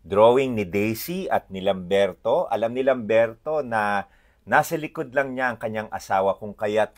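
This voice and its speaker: Low-voiced Filipino man, halting delivery. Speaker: read-aloud presenter. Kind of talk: informal commentary showing book illustrations.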